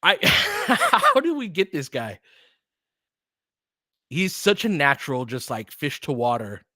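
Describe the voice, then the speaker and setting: A man's voice: fairly high pitch, takes it slowly, no room sound, studio quality. Chatty man in his forties calling a game. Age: 40s. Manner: chatty, excitable, thinks aloud. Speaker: play-by-play host. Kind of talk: casual fan play-by-play commentary.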